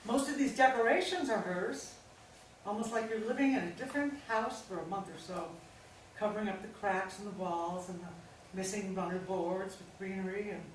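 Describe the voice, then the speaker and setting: A woman's voice: medium pitch, natural voice. A woman playing a fussy, nervously cheerful middle-aged mother in a live staged reading, brittle and placating. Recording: very echoey room, distant mic, hiss.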